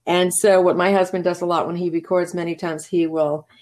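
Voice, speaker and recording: Low-voiced articulate woman, chatty. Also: level tone, fairly quick, darkish tone. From New England, USA. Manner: articulate but chatty, many restarts and laughs. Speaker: voice actor, interview guest. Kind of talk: relaxed conversational interview.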